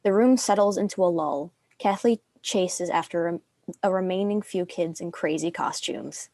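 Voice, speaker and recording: A woman's voice: fairly low pitch, moderate pace, darkish tone, dry recording. A young adult woman reading scripted stage directions as a narrator.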